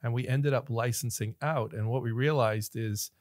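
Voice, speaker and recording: A middle-aged man from Northern Ireland with an American accent, a relaxed guest telling stories in a casual studio interview. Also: medium pitch, normal speed, no room sound. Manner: relaxed storyteller, laughs often, gestures with hands. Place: small podcast studio. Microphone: studio mic on boom arm, headphones on.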